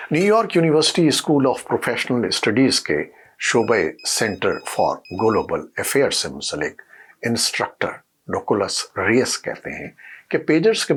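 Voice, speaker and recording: A man presenting scripted news narration in a formal, measured newsreader manner. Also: on the low side, fairly bright tone, husky.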